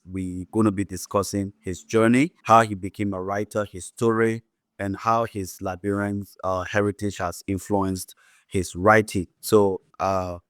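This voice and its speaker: A man hosting a podcast, introducing his guest in a formal, measured way with some fillers. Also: fairly low pitch, no room sound, clean studio sound. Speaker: podcast host.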